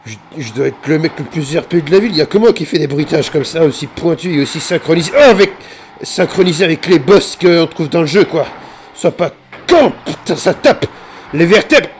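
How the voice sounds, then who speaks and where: A man's voice: on the high side, expressive, a little fast, slight background noise. A man improvising game roleplay and chatting casually, playfully hamming it up with slang. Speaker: gaming video creator playing online roleplay.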